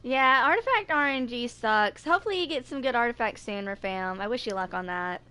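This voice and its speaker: A woman's voice: fairly high pitch, lively intonation, brisk, noisy background. A woman in her twenties chatting casually to viewers as a video creator. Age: 20s.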